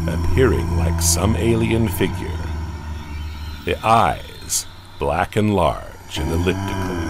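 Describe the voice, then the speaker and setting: A man narrating a horror story in a slow, dramatic way. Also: low-pitched, background noise.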